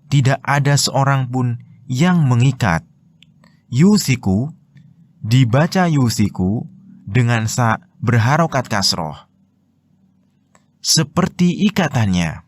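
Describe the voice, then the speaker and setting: A man with an Indonesian accent reading scripted religious commentary in a measured, explanatory way. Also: medium pitch, no room sound. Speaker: narrator of Quran translation and commentary.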